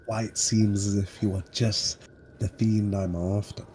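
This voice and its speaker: A man's voice: fairly low pitch, level tone, unhurried, warm tone, a little hiss or hum, lightly acted. A man playing a scheming, bony male summoner who talks formally and stammers and restarts often. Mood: persuasive. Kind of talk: tabletop roleplay in character.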